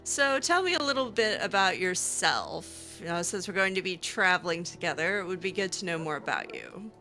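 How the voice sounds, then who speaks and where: A woman roleplays a young circle mage in a fantasy game, with a crisp, bright voice at a medium pitch. She is curious and wryly skeptical, speaking hesitantly and casually as she thinks aloud. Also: animated, faint music behind. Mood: curious, frank. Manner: hesitant, conversational, halting asides. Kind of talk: improvised video game roleplay.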